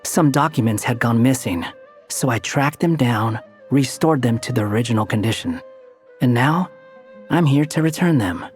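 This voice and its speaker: A man's voice: mid-range voice, expressive, moderate pace, lightly acted. An aloof young male wanderer played by a man in an anime game. His delivery is dry, haughty and sardonic, with faint music underneath. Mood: wry, casual.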